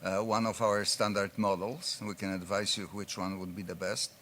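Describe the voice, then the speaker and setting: A man's voice: deep voice, flat delivery, normal speed, a bit bright, slight background noise. A man presenting at a conference, answering audience questions in an explanatory way with frequent fillers. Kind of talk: conference Q&A talk.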